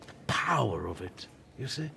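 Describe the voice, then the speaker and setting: An actor in a radio play playing a dying older working-class television writer, proud, prickly and reflective, with a wry, deliberate way of speaking. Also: male voice, fairly low pitch, very animated, vocal fry, pushed and bright, slight background noise, full character voice. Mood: impassioned, reminiscing.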